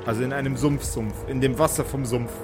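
A man, narrating a tabletop role-playing game as game master, often halting and repeating words as he improvises. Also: medium pitch, steady tone, over music.

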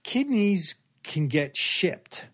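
A man's voice: high voice, sing-song, extremely slow, fairly bright tone. A middle-aged man speaking as a doctor and podcast guest, explaining things casually in a matter-of-fact way.

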